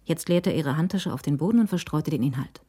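A woman narrating a scripted German radio crime drama in a measured, literary delivery. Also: low-pitched, animated, warm tone, husky.

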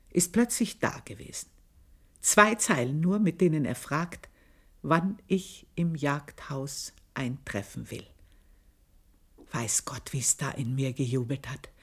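An Austrian actress playing a proud, jealous village-born maidservant, telling her story in a confiding, earthy radio monologue with drawn-out emphasis. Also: a bass voice, breathy edge, exaggerated voice. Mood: hushed, eager. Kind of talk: dramatic first-person radio monologue, literary storytelling.

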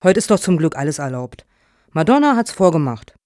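A woman's voice: low-pitched, very animated, fairly quick, darkish tone, no room sound, clean studio sound. An actress in a satirical radio play as a prim, officious woman on a foundation's jury, speaking formally. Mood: supportive.

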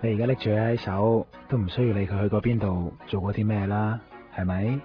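Actor as deep-voiced, cocky mystery man. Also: fairly even intonation, brisk, close to an everyday voice. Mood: breezy, reassuring. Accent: Hong Kong Cantonese. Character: cocky, easygoing, mysterious man who finds lost things. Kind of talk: Cantonese radio drama acting. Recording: dull 1990s radio with music. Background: music.

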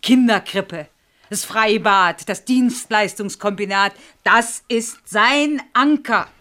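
An actress playing a worried, exasperated middle-aged mayor's wife who explains plaintively in a radio drama. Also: female voice, medium pitch, slow pace, fairly bright tone. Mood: worried, frank. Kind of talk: 1970s East German radio drama acting.

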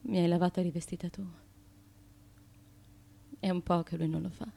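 An actress playing a fearful, haunted wife of an ancient Greek tyrant, intense and repetitive, in a theatrical radio drama. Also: female voice, lowish voice, little pitch movement, normal speed, gravelly, dark tone. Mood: dazed, fragile.

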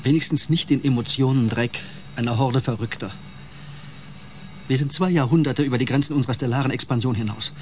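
A man's voice: mid-range voice, brisk, slight background noise, lightly acted. Casting: male actor as bitter misanthrope empath, radio drama, medium register, sneering and insulting. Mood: cold contempt. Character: hostile, misanthropic male empath on a space expedition. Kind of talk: German radio drama acting.

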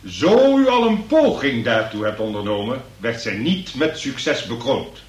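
An actor in a radio play as a pompous examining magistrate, formal, ponderous and wordy. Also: male voice, medium pitch, big pitch swings, unhurried. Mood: stern, lecturing. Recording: roomy, distant radio play recording.

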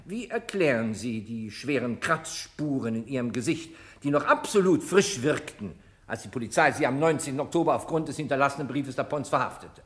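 An actor playing a haughty, sarcastic public prosecutor in a radio crime play, speaking with biting irony and pointed rhetorical pauses. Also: male voice, mid-range voice, lively intonation, lightly acted. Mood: accusing. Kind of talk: German radio crime play acting, courtroom oratory.

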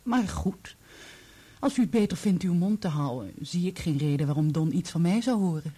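An actress in a Dutch radio play playing a composed, candid divorced woman who speaks in a measured, probing way. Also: lowish voice, animated, warm tone, husky.

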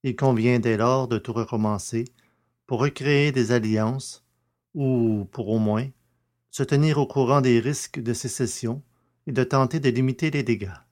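A man's voice: mid-range voice, a little mellow. A man hosting a podcast and reading scripted audiobook narration in French.

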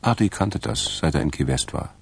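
A man narrating a radio crime drama in a measured, dry way, with a gravelly, husky voice. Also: very deep voice, expressive, heavily acted. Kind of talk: scripted crime story narration.

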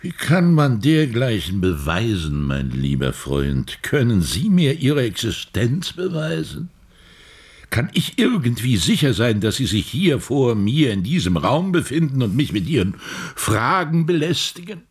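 An actor playing an elderly, philosophical and evasive science professor in a radio play, husky and deliberate in delivery. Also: male voice, mid-range voice, sing-song. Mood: agitated, indignant. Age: older.

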